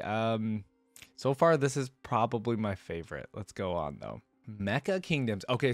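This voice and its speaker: Male reaction host: low-pitched, informal and enthusiastic, loose unscripted commentary, very dry sound. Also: darkish tone, clear, resonant tone, no room sound. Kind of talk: casual off-the-cuff reaction commentary.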